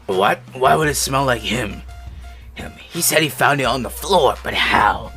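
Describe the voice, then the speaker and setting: A man reads as a grumpy, suspicious story character, irritable and sweary. The mid-pitched voice sits over background music, in an amateur storybook reading. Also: expressive, measured pace, sharp tone. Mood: suspicious muttering. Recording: music playing behind the voice.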